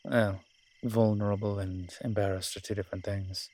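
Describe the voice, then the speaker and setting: A man playing a sly, worldly incubus demon in an audio roleplay, husky and sardonic, talking in an unhurried, conversational way. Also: lowish voice, steady tone, darkish tone. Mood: sly, teasing. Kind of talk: dramatic character roleplay acting.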